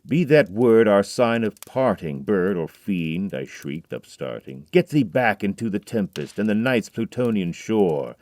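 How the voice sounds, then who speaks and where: A man playing a haunted, grieving man, deep and dry. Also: very animated, a little slow, hardly any pauses, no echo. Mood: anguished. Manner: builds from hushed brooding to loud, pleading outbursts. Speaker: voice actor in an audio drama. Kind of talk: dramatic poetry reading.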